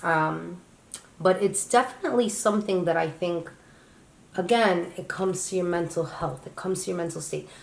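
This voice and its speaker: A woman co-hosting a casual chat, halting as she speaks, often restarting her sentences while she searches for words. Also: lowish voice, gravelly, echoey room, a little hiss or hum, rough recording. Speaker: co-host.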